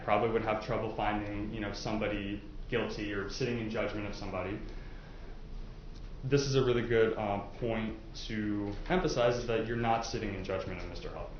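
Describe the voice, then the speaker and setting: A man with a fairly low voice questions potential jurors in a conversational, explanatory style. The room echoes heavily, and the recording is muffled with faint noise behind it. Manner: informal, explanatory, hedging with casual asides. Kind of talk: courtroom jury questioning, conversational. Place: indoor courtroom.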